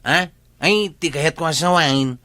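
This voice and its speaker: A man's voice: on the high side, measured pace, sharp tone, dry recording, clean studio sound. A man with an Ilocano accent playing a teasing, joking village bachelor in a radio comedy, with a chuckling, needling manner. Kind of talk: radio comedy drama acting.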